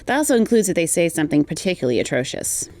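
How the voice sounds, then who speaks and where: A woman's voice: fairly low pitch, sing-song, very fast, vocal fry, darkish tone. A woman reading a personal testimony aloud as a guest contributor. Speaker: guest reader of a written community response.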